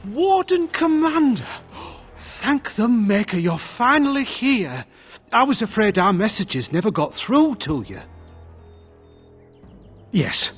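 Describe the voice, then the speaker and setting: An actor playing an anxious merchants' guild official in a video game, husky, earnest, fretful and deferential. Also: male voice, sits high, very animated, takes it slowly, pauses often, faint music behind, full character voice. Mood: relieved urgency. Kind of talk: video game character acting.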